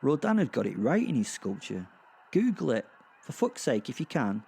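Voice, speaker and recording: A man playing a gleeful, foul-mouthed werewolf who narrates a dark comic horror audio drama in a rambling, conversational, sardonic way. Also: mid-range voice, big pitch swings, normal speed, vocal fry, breathy edge, heavily acted. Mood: sardonic, exasperated. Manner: rambling, sardonic, sweary asides with sighs and chuckles. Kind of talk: first-person storytelling, conversational dark comedy.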